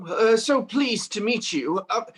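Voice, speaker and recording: An actor playing a polite, hesitant young gentleman in a radio play. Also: sits high, lively intonation, measured pace. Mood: polite, startled.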